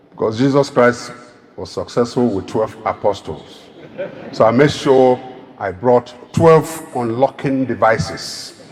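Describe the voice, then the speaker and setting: A Nigerian man with an Igbo accent giving an emphatic, rousing public speech as a keynote speaker. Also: mid-range voice. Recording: long reverb of a large hall.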